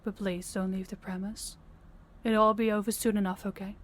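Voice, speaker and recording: A woman playing a guarded but caring young rival princess in an intimate fantasy audio roleplay, reserved and measured. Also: mid-range voice, flat delivery, a little hiss or hum, close to an everyday voice. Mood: guarded, gentle.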